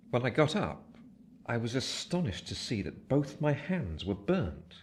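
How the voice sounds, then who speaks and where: A grave gentleman narrator tells his story in a radio drama. His voice is on the low side, with solemn, deliberate phrasing over faint background noise. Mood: somber.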